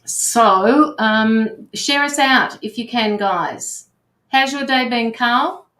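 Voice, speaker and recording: A woman's voice: fairly high pitch, slow pace, a bit bright, reverberant, slight background noise. A woman hosting a livestream, chatting informally with viewers and her guest. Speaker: livestream host. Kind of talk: casual livestream chat.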